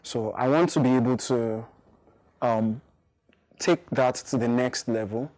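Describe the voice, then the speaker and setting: A man's voice: mid-range voice, measured pace, darkish tone. A young Nigerian man with a Nigerian accent, a native Igbo speaker and hip-hop artist, giving thoughtful interview answers with frequent restarts and fillers.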